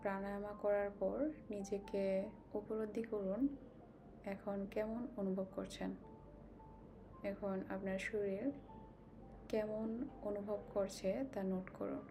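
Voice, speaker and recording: A woman guides a meditation slowly and calmly, giving instructions with long pauses. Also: medium pitch, over music. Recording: clearly noisy, ambient sound behind voice.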